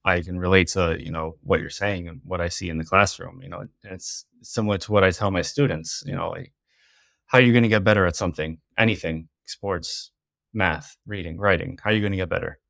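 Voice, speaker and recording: A man's voice: deep voice, fairly even intonation, brisk, vocal fry, a bit bright, no echo, clean studio sound. A man who works as a teacher, chatting as a podcast guest, hesitant and often repeating words and saying "you know". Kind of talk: casual podcast conversation.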